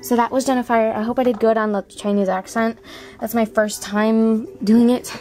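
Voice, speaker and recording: A woman's voice: a little high, fairly even intonation, moderate pace, darkish tone. A woman chatting casually and apologetically to online viewers as an amateur voice auditioner. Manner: self-deprecating, apologetic.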